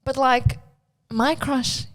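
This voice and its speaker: Female podcast co-host, higher-pitched, animated and opinionated, casual group chat. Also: slow pace.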